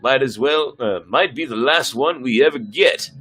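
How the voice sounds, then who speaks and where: A man's voice: medium pitch, normal speed, a bit bright, soft background music, close to an everyday voice. A man performing bold, stubborn starship crew in a sci-fi dramatic reading, emphatic and melodramatic. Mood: excited, brash.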